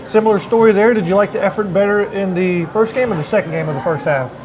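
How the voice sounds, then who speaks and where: A man's voice: high voice, fast, low-quality recording. A man hosting a live sports interview show, asking a guest questions in a busy eatery. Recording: crowd chatter behind the voice. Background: crowd chatter.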